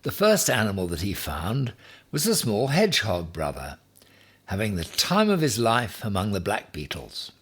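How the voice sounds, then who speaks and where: A man reading a children's story aloud in a deliberate, expressive way with dramatic emphasis. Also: on the low side, slightly rough. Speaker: story reader.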